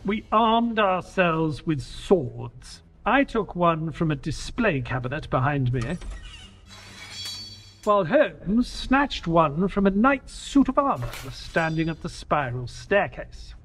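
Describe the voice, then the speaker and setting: An actor playing an earnest detective's companion, giving dramatic first-person narration of an adventure. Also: male voice, a little high, big pitch swings, takes it slowly, fairly bright tone, exaggerated voice. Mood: tense.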